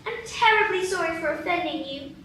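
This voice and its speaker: Woman as curious young girl, very high, bright, roomy echo, distant mic. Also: brisk, slight background noise. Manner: wondering, apologetic, chatty. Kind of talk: live radio play acting.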